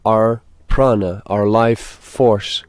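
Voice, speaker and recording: A man leading a guided meditation as its teacher, speaking in measured short phrases with pauses between them. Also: on the low side, steady tone, no echo.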